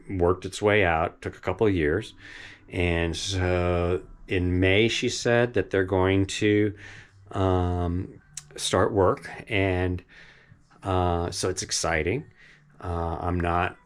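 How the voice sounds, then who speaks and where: A man with a very low, deep voice talks casually about his property. He rambles with plenty of fillers and restarts and chuckles now and then. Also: clear, resonant tone. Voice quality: deep voice that carries. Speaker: vlogger giving a property update. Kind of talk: casual rambling talk to camera. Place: home property in the Pacific Northwest forest.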